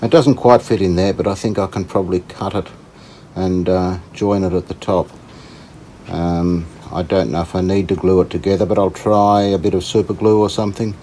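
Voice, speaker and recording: A man with a low, soft-edged, dark voice talks through repair work in a casual way. He is unhurried and a little tentative, thinking aloud as he goes. There is faint noise behind him. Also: steady tone. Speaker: host of a radio repair channel. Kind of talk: casual hands-on repair commentary.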